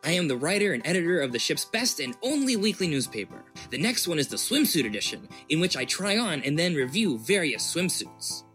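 A woman's voice: low voice, big pitch swings, a little fast, hardly any pauses, a bit bright. A woman playing an eager, self-important newspaper editor with a chirpy manner in a sci-fi comedy audio drama. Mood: proud, eager. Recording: music behind the voice.

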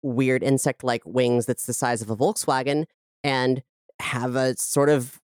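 A woman speaks as an interview guest in a smooth, low-set voice. She explains things carefully and reflectively, recorded close and dry. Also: fairly even intonation, measured pace, a little mellow, no echo. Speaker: interview guest, podcast creator. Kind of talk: thoughtful interview talk.

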